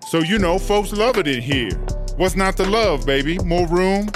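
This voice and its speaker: A man's voice: high voice, expressive, moderate pace, music in the background. Sassy, swaggering female inmate. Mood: chatty, boastful. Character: brash, vain, bossy female prison inmate landlady. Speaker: interviewed inmate character. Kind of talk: comedic audio drama, slangy conversational acting.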